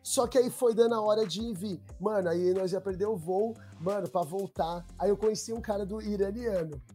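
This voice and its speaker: Slangy São man, mellow tone. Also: high voice, moderate pace, soft background music. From Brazil. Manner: slangy street talk, relaxed. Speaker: documentary maker, guest. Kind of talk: casual storytelling chat.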